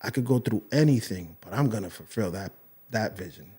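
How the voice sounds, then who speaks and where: A man's voice: lowish voice, animated, fairly quick, mellow, husky. A young man hosting a podcast, speaking earnestly and emotionally in a rambling casual chat with many restarts. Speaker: podcast host.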